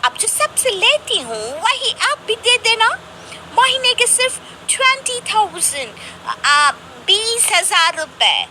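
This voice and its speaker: Woman as a haughty, very high-pitched housemaid. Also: very animated, slow pace, noisy background, full character voice. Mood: smug, firm. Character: haughty, unbudging housemaid abroad.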